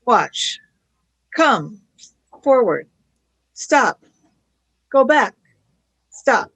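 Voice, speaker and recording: A woman's voice: fairly high pitch, sing-song, extremely slow, fairly bright tone, breathy edge, heavily acted, low-quality recording. A woman playing an eager, stubborn young girl companion in a radio play. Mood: insistent.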